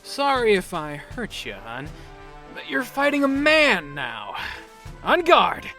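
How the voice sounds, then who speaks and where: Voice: medium pitch, wildly swooping pitch, takes it slowly, bright, forceful tone, soft background music, exaggerated voice. An actor voicing a cocky, easily flustered young male recruit in an audio drama, swaggering and prone to stammer. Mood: smug, cocky. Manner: swaggering, stammers when challenged. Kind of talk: scripted audio drama acting.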